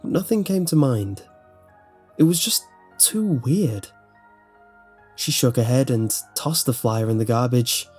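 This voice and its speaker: A man narrates a scripted horror story. His voice is mid-pitched and dark, with a mellow tone. Faint music plays underneath. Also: expressive, slow, long pauses, slightly rough.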